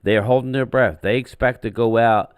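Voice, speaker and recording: A man co-hosting a casual livestream chat, with a deep voice made raspy by a chest cold, talking haltingly with many fillers. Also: steady tone, no room sound, studio quality. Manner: halting, frequent fillers and restarts. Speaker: co-host.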